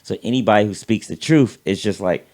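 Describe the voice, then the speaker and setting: A man with a somewhat low, raspy voice full of vocal fry holds forth in a casual podcast chat. He circles back on his words, repeating himself and swearing as he works through a point. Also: fairly quick, a little mellow. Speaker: podcast co-host.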